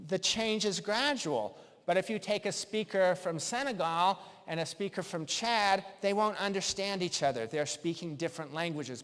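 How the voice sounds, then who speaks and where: A man giving a conference lecture in a measured, explaining way. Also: sits high. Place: lecture hall. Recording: long hall reverb.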